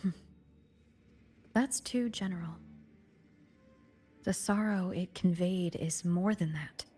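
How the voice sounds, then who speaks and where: A woman voicing a calm, perceptive, quietly challenging young woman in a video game, in a soft, husky voice. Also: on the low side, slow pace. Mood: calm, probing. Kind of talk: understated video game voice acting. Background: background music.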